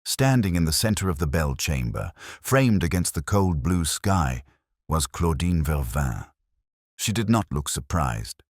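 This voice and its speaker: A man with a very deep, husky voice narrating a scripted story in a dramatic, literary manner. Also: sing-song, a little slow, no echo, clean studio sound.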